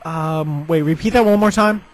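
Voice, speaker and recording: A man's voice: a little high, normal speed. A man making a prank phone call, speaking in a hesitant, polite, stalling and apologetic way. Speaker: prank caller. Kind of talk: feigned apologetic phone conversation. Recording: noisy phone call with clicks.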